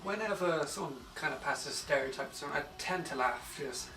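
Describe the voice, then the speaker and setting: A young English man with a slightly raised voice speaks easily and pauses now and then to find his words. He sounds distant in an echoey room. Loud music plays behind him. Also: fairly high pitch. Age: young adult. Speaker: bassist and backing singer. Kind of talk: casual interview.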